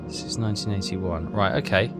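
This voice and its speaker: A man's voice: sits low, steady tone, brisk. A man giving casual game commentary and reading text aloud, hesitant and repeating himself as he thinks aloud. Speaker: let's player. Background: game music.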